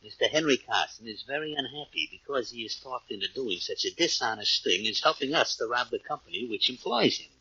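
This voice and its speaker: An actor playing a coldly polite, menacing gang boss with formal, measured speech in a 1940s radio crime drama. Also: male voice, mid-range voice, expressive, pushed and bright, slightly rough, no room sound, full character voice. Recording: dull old radio recording.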